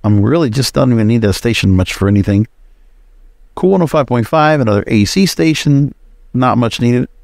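A man's voice: medium pitch, animated, normal speed, darkish tone, no echo. A man talks through his podcast commentary in a casual, rambling way. He is opinionated and conversational, with a mid-range voice. The sound is very dry, with no room around it. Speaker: podcast host.

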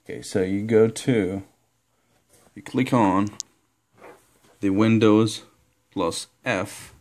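A man giving an informal step-by-step computer tutorial in a halting way, reading out text as he goes. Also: on the low side, darkish tone. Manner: halting, instructional, reads out on-screen text. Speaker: tutorial presenter. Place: indoor room, filming a laptop screen.

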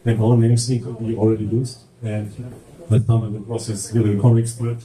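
A middle-aged man with a German accent, presenting slides at a conference in halting English with many fillers. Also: lowish voice, flat delivery, mellow. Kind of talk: presenting slides at a tech talk. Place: large conference hall with projector. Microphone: handheld mic. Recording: echoey hall, mic at distance.

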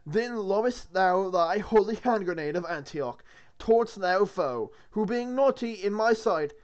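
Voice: mid-range voice, expressive, lo-fi sound, close to an everyday voice. A reader intoning as a pompous monk. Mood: solemn. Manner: solemn, sing-song, slow and intoning. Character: pompous male monk reading scripture.

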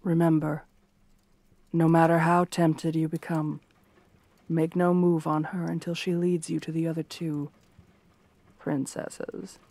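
A woman playing a stern, scheming royal guard captain in a fantasy roleplay, formal, measured and commanding. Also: deep voice, little pitch movement, mellow, dry recording, full character voice. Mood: cold, commanding. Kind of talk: scripted fantasy ASMR roleplay.

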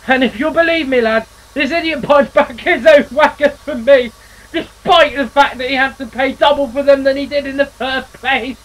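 A man's voice: extremely high pitch, fairly even intonation, normal speed, fairly bright tone, voice pushed up, poor audio. A man voicing a cheerful, chatty male steam engine who spins folksy yarns and calls others mate. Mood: boastful.

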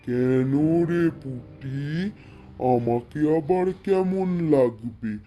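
A man voicing a possessed young man in a cartoon, sinister, with slow, ominous, stretched words. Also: medium pitch, expressive, lo-fi sound, soft background music. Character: possessed young man, sinister ghost speaking through him.

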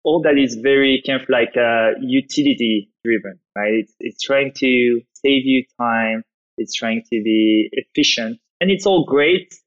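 A man's voice: medium pitch, a little slow, dull audio. A man from France with a French accent, answering questions as a podcast guest in a loose, conversational way with many fillers. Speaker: guest, startup founder. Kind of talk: podcast interview.

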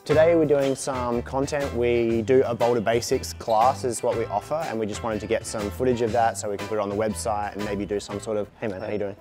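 A man's voice: lowish voice, fairly even intonation, a little fast, hardly any pauses, over music. A man in his thirties coaching a beginner climbing class in a large indoor gym, explaining and encouraging.

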